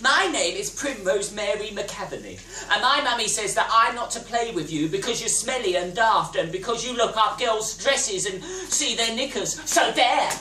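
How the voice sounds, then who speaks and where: A woman reading plays aloud as an amateur actor, slow and dramatic. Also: mid-range voice, animated, bright, forceful tone. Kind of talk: play reading, theatrical. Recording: roomy theatre from far off.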